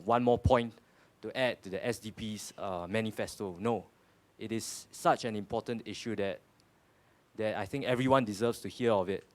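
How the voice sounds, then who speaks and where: A Singaporean man in his twenties answers questions earnestly, often stumbling and repeating words. His voice sits a little low for a man. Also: a little mellow. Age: 20s. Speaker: panel speaker. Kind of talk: panel Q&A answers.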